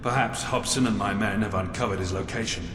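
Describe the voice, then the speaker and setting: Man acting a grim, low-voiced officer in an echoing hall. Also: brisk, noisy background, lightly acted. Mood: grave, urgent. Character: grim, scheming military prison officer, outwardly loyal. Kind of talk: video game drama acting. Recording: echoey hall, distant, music underneath.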